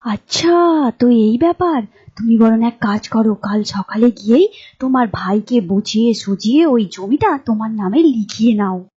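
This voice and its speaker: A woman's voice: on the high side, expressive, normal speed, dark tone, slightly rough. A woman playing a scheming village wife in an audio folk tale, with a pressing, questioning manner. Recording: dull lo-fi sound with music behind.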